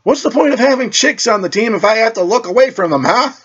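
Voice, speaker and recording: Man acting a teenage speedster hero, cocky, flippant, stammering wisecracker, raised pitch, muffled lo-fi sound. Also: animated, quick pace, a bit bright, breathy edge, heavily acted. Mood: flippant, exasperated.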